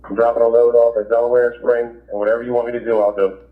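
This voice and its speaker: A man's voice: mid-range voice, little pitch movement, quick pace. A man working as a police officer, reporting over police radio. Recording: tinny narrowband police scanner radio.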